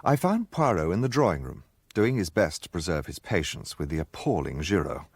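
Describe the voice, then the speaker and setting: An actor playing a gentlemanly narrator who recounts his own adventure as scripted first-person radio drama narration. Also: low voice, very animated, fairly quick, slightly rough, no room sound, exaggerated voice. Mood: reflective, recounting.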